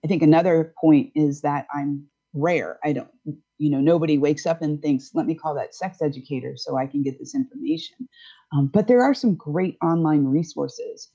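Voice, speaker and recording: A woman's voice: low-pitched, moderate pace, dark tone, breathy edge, highs cut off. A woman in her fifties, a sex educator, explaining things frankly and easily in a casual podcast chat. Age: 50s.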